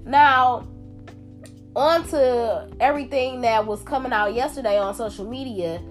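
A woman's voice: fairly high pitch, unhurried, faint music behind. A young woman with a congested voice from a cold, hosting a solo podcast in a blunt, profane, casual way. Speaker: podcast host.

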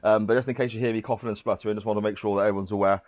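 A man's voice: lowish voice, fairly even intonation, very fast, darkish tone, no room sound. A man hosting a podcast interview in a chatty, polite way with frequent ums, sounding congested from a cold. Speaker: podcast host. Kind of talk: casual interview chat.

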